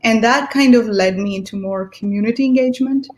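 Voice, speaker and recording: A woman's voice: on the high side, a little fast. Middle-aged woman, from Pakistan, panelist, casual and conversational. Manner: relaxed, many ums. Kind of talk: personal story on a virtual panel.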